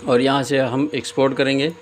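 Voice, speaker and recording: A man giving a casual step-by-step screen tutorial as host, often pausing hesitantly mid-sentence. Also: medium pitch, flat delivery. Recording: clear background noise, room ambience.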